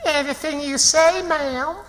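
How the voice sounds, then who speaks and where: Timid Southern child, boy-as-little-girl act, high, slow and stammering, in a reverberant radio play. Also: steady tone, fairly bright tone, slight background noise. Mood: flustered. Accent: American South. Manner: hesitant, stammering, folksy. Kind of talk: radio theatre acting. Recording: long reverberant hall sound.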